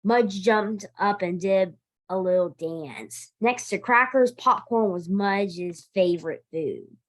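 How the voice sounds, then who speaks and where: A woman reading a children's story aloud in an animated, expressive storytime style, now and then stumbling over a word. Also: mid-range voice, slow. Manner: expressive, emphatic, occasionally stumbling over words. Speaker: library director reading a picture book aloud.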